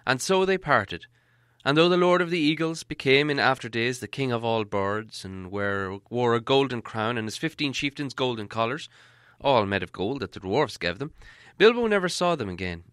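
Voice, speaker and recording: A man narrating an audiobook in a measured storytelling way, softening on descriptive passages. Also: mid-range voice, clean tone, no echo, studio quality. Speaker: audiobook reader.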